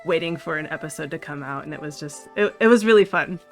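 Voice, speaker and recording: A man's voice: fairly high pitch, fast, soft background music. A man in a casual group podcast chat, informal and enthusiastic.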